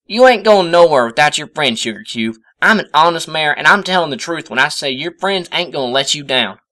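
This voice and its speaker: A man's voice: fairly high pitch, lively intonation, brisk, pushed and bright, voice pushed up. A man voicing a plain-spoken, loyal female cowgirl cartoon pony, folksy and forthright, in a storybook reading. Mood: indignant.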